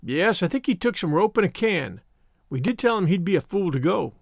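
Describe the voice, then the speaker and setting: Respectful storybook boy scout, male narrator acting, hesitant and eager, highish voice, lively intonation. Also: a little fast, vocal fry, no room sound, clean studio sound, heavily acted. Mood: anxious, respectful. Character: eager, respectful young schoolboy scout reporting.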